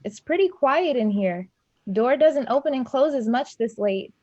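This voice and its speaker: A woman's voice: high-pitched, expressive, normal speed, mellow. An actress playing a friendly, shy woman in her twenties in an online play reading, casual and hesitant. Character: friendly, shy 23-year-old woman working as lobby attendant. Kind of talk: naturalistic acting in an online staged play reading. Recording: dull, lo-fi online recording.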